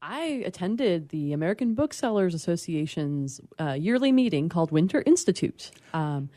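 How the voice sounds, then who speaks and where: A woman speaking as a bookseller guest in a casual radio interview, informal and gently enthusiastic. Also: lowish voice, sing-song, moderate pace, no echo. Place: radio studio.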